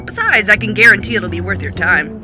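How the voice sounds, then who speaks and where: A woman's voice: medium pitch, expressive, fairly quick, natural voice. A young woman acting in an audio drama as a sarcastic, teasing superhero sidekick who builds gadgets. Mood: bargaining. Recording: loud music bed behind voice.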